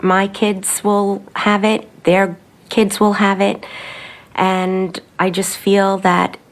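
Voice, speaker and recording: A woman's voice: mid-range voice, little pitch movement, slow, low-quality recording. A woman reflecting personally as a documentary interviewee. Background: faint background music.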